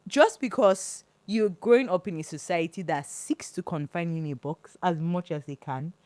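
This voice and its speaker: A young woman from Nigeria co-hosting a casual podcast chat, telling animated stories and acting out what others said. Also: fairly low pitch, moderate pace, dry recording. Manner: animated storytelling, acting out quotes. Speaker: podcast co-host.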